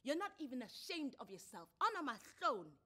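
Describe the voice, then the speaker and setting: A woman's voice: high voice, sing-song, fairly quick, pushed and bright, pitched above the performer's own voice, full character voice. A woman with a South African accent playing a furious, scornful estranged wife in a story reading. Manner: scolding, declamatory.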